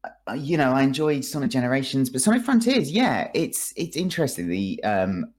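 A man's voice: medium pitch, big pitch swings, normal speed, darkish tone. A man speaking as a podcast guest, giving casual, informal opinions in a hedging, self-deprecating, conversational way. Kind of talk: casual chat, informal game review.

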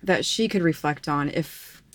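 A young woman hosting a podcast, gently interviewing her partner in casual conversation. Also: deep voice, unhurried, no room sound. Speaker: podcast host.

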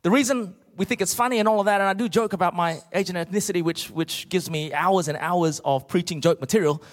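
Male preacher, middle-aged, Australian accent. Notably high voice, emphatic comic storyteller, big reverberant hall. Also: fast. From Australia, born in Malaysia. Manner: emphatic, rhetorical questions to the congregation. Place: large church auditorium, speaking to a congregation.

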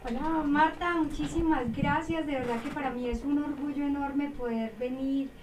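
A woman with a Colombian paisa accent from Medellín, telling her story warmly as an interview guest, with lots of fillers. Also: high voice, fairly even intonation, moderate pace, background noise. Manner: warm and effusive, frequent fillers.

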